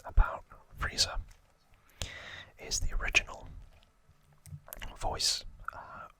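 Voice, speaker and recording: A man hosts an ASMR trivia session entirely in a hushed whisper. His delivery is very slow and informal, as if explaining things off the cuff. The whisper has a bright, sharp edge. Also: no echo. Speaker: ASMR host.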